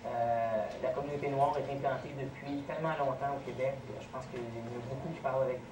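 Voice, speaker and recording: A man with a Québécois accent, answering audience questions in a measured, explanatory way as a guest speaker at a lecture. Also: mid-range voice, steady tone. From Quebec. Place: college lecture room. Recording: echoey, noisy college room, distant mic.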